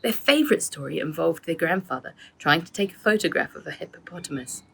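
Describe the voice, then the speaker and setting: A woman, an author, reading her own children's book aloud in an expressive storytelling way. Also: low voice, fairly quick, gravelly, slightly rough.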